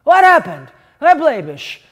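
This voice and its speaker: Woman storyteller as defensive father, storybook character, argumentative, flustered pride, lively swinging intonation. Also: high voice, a little slow, voice pushed up, full character voice. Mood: alarmed. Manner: argumentative, rhetorical. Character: flustered, defensive father proud of his clock.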